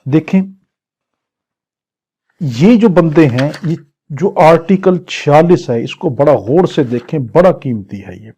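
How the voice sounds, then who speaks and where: A man giving a law lecture, reading English legal clauses slowly and then explaining them. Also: fairly high pitch, lively intonation, dark tone, slightly rough. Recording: dull lo-fi recording.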